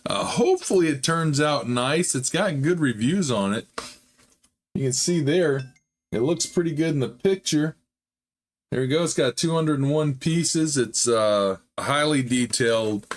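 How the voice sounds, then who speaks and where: A man hosting a hobby model-building channel, talking in a casual, rambling way with frequent fillers, his voice slightly hoarse. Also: a little high, animated, unhurried. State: slightly hoarse, recovering from a chest illness. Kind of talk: casual build vlog narration.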